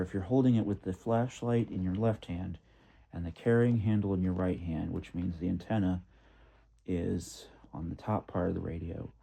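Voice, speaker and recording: A man giving a casual spoken product comparison, thinking aloud in a halting, methodical way. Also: low voice, vocal fry, mellow, slightly rough. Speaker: product reviewer.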